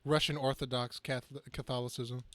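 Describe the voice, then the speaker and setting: A male podcast co-host trading casual banter in a giggly, excitable way. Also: medium pitch, brisk, no echo.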